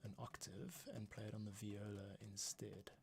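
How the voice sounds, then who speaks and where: A man thinking out loud in a halting mutter as he works through a music composing livestream. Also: fairly low pitch, little pitch movement, vocal fry, slight background noise. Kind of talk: thinking aloud while working, screen-share tutorial stream. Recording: orchestral music playing over voice. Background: orchestral music playback.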